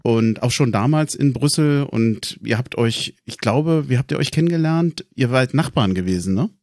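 A man's voice: mid-range voice, normal speed, a little mellow, no room sound, studio quality. A man hosting a fan podcast, chatty and casual, often repeating words as he starts a sentence. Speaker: podcast host.

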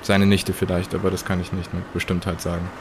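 A man playing a measured, grave witness who speaks formally and deliberately in an audio drama retelling. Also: low-pitched, completely flat delivery, a little hiss or hum, lightly acted. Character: measured, grave male witness recounting eerie deaths.

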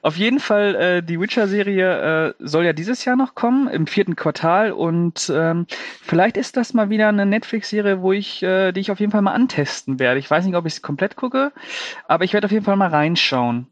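A man's voice: high-pitched, lively intonation, brisk, dry recording, muffled recording. A man co-hosting a film news show in casual, slangy conversation.

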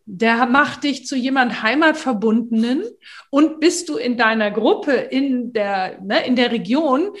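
A middle-aged woman, a voice and speech coach, explaining in a lively, chatty way in a casual podcast conversation. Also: a little high, measured pace.

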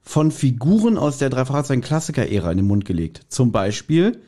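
A man's voice: medium pitch, very animated, a little fast, dark tone. A man hosting a podcast, casually talking through background details of audio dramas. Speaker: podcast host.

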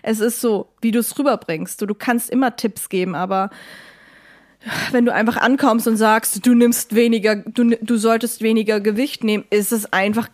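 A woman's voice: fairly high pitch, fairly even intonation, normal speed, a little mellow. A young woman with a German accent in casual podcast chat as a co-host, speaking in an opinionated, emphatic way.

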